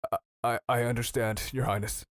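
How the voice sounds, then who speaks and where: A man playing a cowed, submissive royal guard captain in an audio drama, halting and deferential. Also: mid-range voice, little pitch movement, no echo, studio quality, close to an everyday voice. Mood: cowed, shaken.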